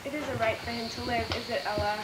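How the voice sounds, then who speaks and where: A woman's voice: a little high, steady tone, fast talker, close to an everyday voice. A woman playing a deranged young woman bent on revenge in a radio thriller, obsessive and given to repeating herself. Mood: dazed. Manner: obsessive, chanting repetition. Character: deranged young woman avenging her dead big sister. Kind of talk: old-time radio thriller acting. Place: playhouse stage, live performance. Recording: roomy live stage recording, faint noise.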